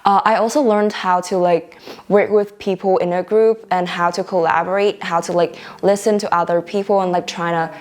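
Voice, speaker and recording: A young woman college student with a soft voice, speaking casually in an interview. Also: on the low side, fairly even intonation, moderate pace. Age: young adult. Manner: casual, frequent "like" fillers.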